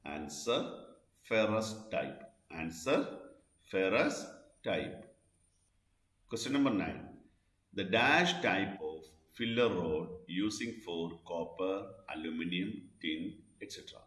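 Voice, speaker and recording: A man narrating a scripted question-and-answer lesson as an instructor, deliberately repeating what he reads. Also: sits low, animated, fairly bright tone. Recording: echoey, roomy voice-over.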